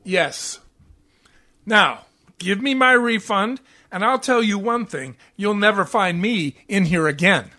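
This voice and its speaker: A man's voice: high voice, animated, takes it slowly, bright, forceful tone, full character voice. A man playing a grumpy, sarcastic, impatient husband in a 1940s-style radio comedy. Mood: indignant.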